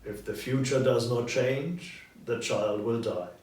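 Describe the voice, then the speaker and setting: A man voicing a solemn, reproachful male Christmas spirit in a storybook reading, speaking gravely and deliberately. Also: medium pitch, darkish tone, roomy sound, distant mic, lightly acted. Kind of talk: dramatic audiobook character reading.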